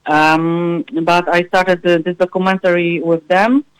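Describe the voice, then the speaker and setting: A woman being interviewed in a live radio chat, speaking haltingly as she searches for words in fragmented English. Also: lowish voice, level tone, clear, resonant tone, dry recording, rough recording. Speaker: guest music researcher and filmmaker.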